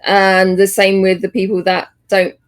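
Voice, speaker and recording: A woman co-hosting a podcast, chatting casually about games in a hesitant, halting way. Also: medium pitch, monotone. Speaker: podcast co-host.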